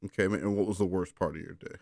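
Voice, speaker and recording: A man's voice: low voice, steady tone, racing, darkish tone, no room sound, clean studio sound. A man chatting casually and reading a story aloud on a phone call, coaxing and teasing in a rambling way. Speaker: bedtime story reader on a call.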